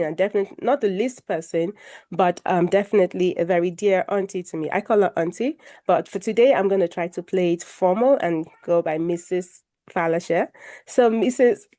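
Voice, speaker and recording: Slightly croaky woman hosting a video call. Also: medium pitch. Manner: warm, formal introductions, light self-deprecating humor. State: recovering from a cold, a bit croaky. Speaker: moderator of an online health discussion. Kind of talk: hosting a video call panel, presenting slides.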